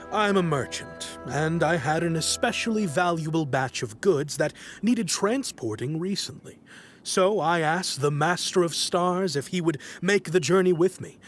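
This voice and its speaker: An actor playing a talkative merchant who hesitates with "uh" while praising a sage. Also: male voice, on the high side. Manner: hesitant, uses "uh" often, animated. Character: talkative merchant, impressed and grateful.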